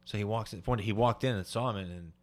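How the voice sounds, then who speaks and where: Male comedy podcast co-host, lowish pitch, casual crude storyteller. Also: quick pace, darkish tone. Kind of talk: casual comedy banter and anecdotes.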